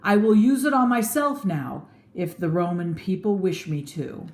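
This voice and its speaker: A woman's voice: lowish voice, very animated, moderate pace, darkish tone. A woman voicing an earnest, honorable Roman statesman who speaks persuasively in a storybook reading. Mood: solemn declaring. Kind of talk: storybook read-aloud with theatrical oratory. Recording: echoey room.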